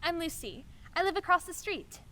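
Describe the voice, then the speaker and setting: An actress playing an awkward, eager young neighbor who speaks haltingly and nervously in a radio play. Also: female voice, very high voice, lively intonation, fairly bright tone.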